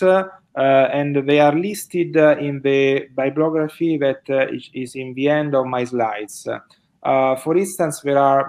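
A man's voice: medium pitch, darkish tone. A middle-aged man answering audience questions on a video call, speaking hesitantly with many fillers and restarts. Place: indoors at home. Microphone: video call webcam.

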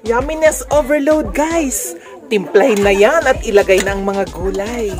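A woman's voice: a little high, normal speed. A middle-aged woman with a Filipino accent narrating a family food vlog with exclamations and cheery greetings. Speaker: vlogger. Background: music.

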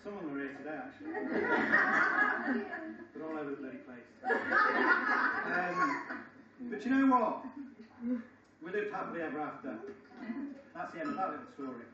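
High-voiced man speaking in an echoey hall. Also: lively intonation. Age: middle-aged. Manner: joking, storytelling with deliberate pauses. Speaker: wedding speaker, best man. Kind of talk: comic wedding speech. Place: large function room, indoors. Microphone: far camera in the audience. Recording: echoey, muffled, distant, noisy crowd. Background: audience crowd and laughter.

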